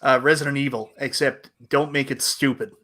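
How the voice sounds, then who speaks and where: A man's voice: medium pitch, steady tone, moderate pace, pushed and bright. A man co-hosting a live-streamed chat, blunt and jokey, often laughing. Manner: blunt, joking, laughs a lot. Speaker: co-host.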